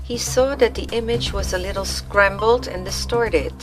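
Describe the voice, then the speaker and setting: A woman's voice: medium pitch, moderate pace. A woman reading scripted story narration as the narrator of a radio play. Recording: music laid under the voice.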